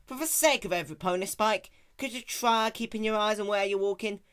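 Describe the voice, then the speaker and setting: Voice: medium pitch, brisk, fairly bright tone, smooth, voice pushed up, lightly acted. A performer voicing a plain-spoken, hardworking young country mare with an earnest, folksy rural drawl. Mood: exasperated.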